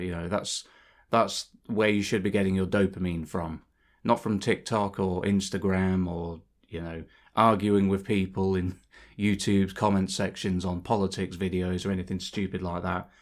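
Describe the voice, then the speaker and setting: A young man talking over gameplay in a rambling, reflective way, a bit bunged up and sore-throated from a cold, with many fillers and restarts. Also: low voice. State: congested from a cold. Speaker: channel host. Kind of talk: rambling casual monologue. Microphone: voice-over over gameplay footage.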